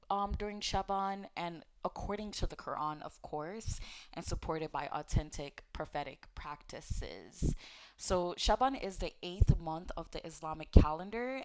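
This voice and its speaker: Casual, lower-voiced woman teaching faith. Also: poor audio. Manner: conversational, with fillers and self-corrections; gently encouraging, speaks directly to viewers. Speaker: host of an Islamic teaching channel. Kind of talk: casual religious teaching talk.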